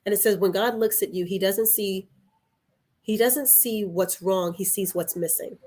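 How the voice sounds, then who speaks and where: A woman's voice: mid-range voice, normal speed, warm tone. A woman talking reflectively in a conversational podcast style, earnest, often repeating phrases and posing questions. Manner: earnest, rhetorical questions and repetition. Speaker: podcast guest.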